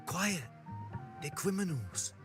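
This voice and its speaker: A man's voice: sits high, sing-song, slow pace, music in the background, full character voice. A man playing a cautious, admonishing townsman in a video game. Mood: nervous warning. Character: wary townsman hushing forbidden talk in a game.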